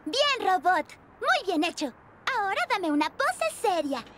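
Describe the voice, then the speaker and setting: A woman's voice: very high voice, very animated, a little slow, heavily acted. A woman voicing a plucky, eager young girl hippo superhero in a children's cartoon. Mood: eager, confident. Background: children's show music.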